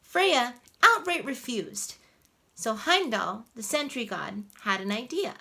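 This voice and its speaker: A woman playing a sweet, playful mermaid who tells stories to children in an animated, coaxing way. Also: on the high side, slow, vocal fry, slightly rough, full character voice. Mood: storytelling. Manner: animated, coaxing, asks listeners questions. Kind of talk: children's storytelling, addressed to the audience. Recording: faint background ambient noise.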